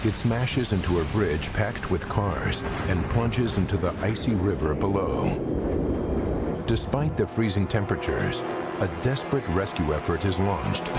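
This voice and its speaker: A man with an American accent reading dramatic documentary narration in a grave, suspenseful manner. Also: low-pitched. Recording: loud dramatic music underneath. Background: dramatic score.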